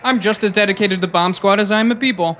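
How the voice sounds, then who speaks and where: An eager teenage boy athlete voiced by a man in an audio drama. His voice is high and sharp, always defending himself and keen to belong. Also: very fast, soft background music. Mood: defensive. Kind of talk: scripted audio drama acting.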